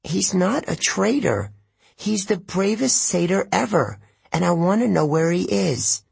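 A man voicing a young female tree nymph, soft, pleading and earnest. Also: high voice, animated, measured pace, vocal fry, slightly rough, dry recording, pitched above the performer's own voice, exaggerated voice. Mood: defiant.

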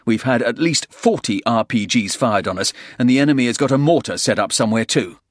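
A man's voice: medium pitch, lively intonation, a little fast, no echo, clean studio sound, voice pushed up, lightly acted. A man voicing a blunt, sardonic British soldier under fire in an audiobook thriller. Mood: tense, urgent.

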